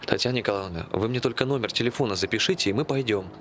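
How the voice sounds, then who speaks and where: A man's voice: fairly low pitch, racing, a bit bright, a little hiss or hum, close to an everyday voice. An actor playing an evasive man who jokes dryly, in naturalistic stage-play dialogue. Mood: calm, placating. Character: Tolya, evasive, joking working-class man with a dog plan.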